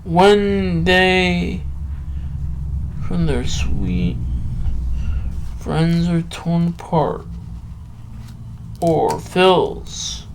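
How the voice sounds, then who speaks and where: A man talking and reading poetry solo as a podcast host, halting, with drawn-out phrases and long pauses. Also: on the high side, creaky, slight background noise, low-quality recording. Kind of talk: rambling solo talk and poem reading.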